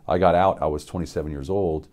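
A middle-aged man from Pennsylvania, a military veteran, gives an unscripted personal testimony in a studio, earnest and reflective, with many halting fillers and restarts. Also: very deep voice, fairly even intonation, darkish tone. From central Pennsylvania, USA. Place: indoor studio with dark backdrop.

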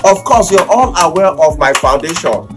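An earnest Nigerian political candidate played by a man addresses supporters in a skit. His voice is somewhat high, with formal, declamatory delivery. Music plays underneath. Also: lively intonation, normal speed, lightly acted. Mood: earnest, proud. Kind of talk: campaign speech. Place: open hall, camera. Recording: music behind the speech.

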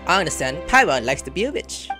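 A man's voice: on the high side, sing-song, quick pace. A young man giving casual live reaction commentary as a gaming streamer. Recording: game music behind.

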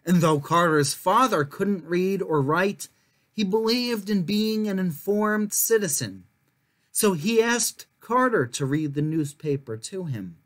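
A young man reading a children's picture book aloud as a storyteller, in measured, clearly phrased narration. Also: high voice, a bit bright. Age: young adult. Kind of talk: scripted narration, story time read-aloud.

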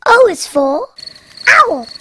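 A woman voicing a cheerful little girl piglet in a cartoon, reciting the alphabet in a bright, giggly sing-song. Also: very high voice, very animated, takes it slowly, very noisy, heavily acted.

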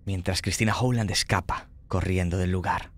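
Low-voiced man running a roleplaying game. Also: steady tone, normal speed. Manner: conversational, checking in with players. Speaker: game master. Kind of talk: narrating a tabletop horror roleplaying game.

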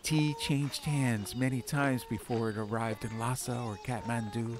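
A man narrating a fantasy audiobook in measured, hushed first-person storytelling. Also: medium pitch, steady tone. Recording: ambient noise bed under the voice.

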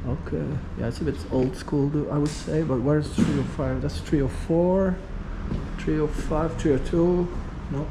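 Male travel vlogger, thirties, slightly higher voice, casual thinking-aloud delivery, noisy handheld camera audio. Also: steady tone, normal speed. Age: 30s. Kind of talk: casual spoken-as-it-happens travel vlog narration. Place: city street, then hotel lobby, lift, corridor and room. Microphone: action camera held at arm's length.

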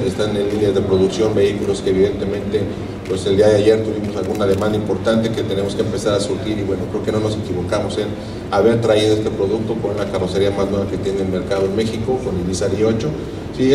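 Middle-aged exec at a trade show, lowish voice, handheld mic, roomy and distant sound, measured delivery. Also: male voice, noisy background. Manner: measured, fact-heavy, frequent "¿sí?" check-ins. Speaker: company executive presenting at a trade show. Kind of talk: corporate presentation speech. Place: large indoor exhibition hall. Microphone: handheld microphone. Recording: echoey hall, taped from a distance.

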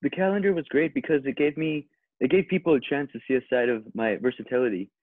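A man's voice: mid-range voice, quick pace, dry recording, natural voice. A man playing a vain, dim-witted but sincere male model in a comedy script read over video call. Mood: earnest, self-important. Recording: thin, phone-like video call audio.

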